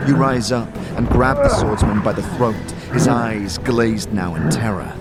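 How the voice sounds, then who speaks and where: A man giving dramatic scripted second-person narration for a fantasy audio series, in a measured, theatrical storytelling manner. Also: fairly low pitch, sing-song, vocal fry. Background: music.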